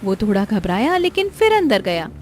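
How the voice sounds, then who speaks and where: A woman's voice: medium pitch, very animated, normal speed, slight background noise. A woman reading scripted narration for a cartoon moral story. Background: background music.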